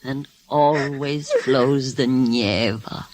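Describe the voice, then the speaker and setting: An actress playing a dour, loyal, practical Russian maidservant, deliberate and fatalistic, in old-time radio drama style. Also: female voice, a bass voice, exaggerated voice. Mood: grim, soothing. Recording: noisy, clicking old radio recording.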